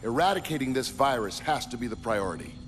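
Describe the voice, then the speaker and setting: An actor playing an easygoing, self-critical creator of a digital world in a video game, speaking casually. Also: male voice, medium pitch, fast, natural voice. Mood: earnest. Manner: relaxed, conversational. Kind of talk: video game cutscene acting. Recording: reverberant with game music.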